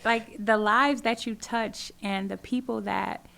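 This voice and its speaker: A woman's voice: on the high side, fairly even intonation, a little slow, creaky, fairly bright tone. A woman in her forties, a community organizer talking as an interview guest in an earnest, emphatic way, often repeating phrases for weight. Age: 40s. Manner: earnest, emphatic storytelling with repetition. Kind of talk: casual interview talk.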